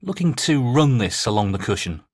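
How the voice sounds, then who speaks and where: A snooker game commentator, husky, really expressive pitch, dry booth sound. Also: male voice, moderate pace, no room sound. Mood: measured, analytical. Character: keen, observant male snooker commentator. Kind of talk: sports video game commentary.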